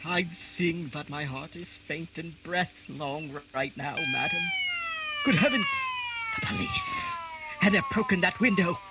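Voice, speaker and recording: A man playing a timid, polite and flustered Victorian gentleman in a radio drama. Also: sits high, wildly swooping pitch, a little slow, over loud music, full character voice. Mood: grateful, faint.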